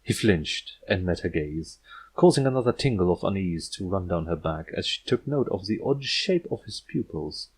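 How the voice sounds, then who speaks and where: Low-voiced man narrating a story. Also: lively intonation, normal speed, breathy edge. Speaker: audiobook narrator.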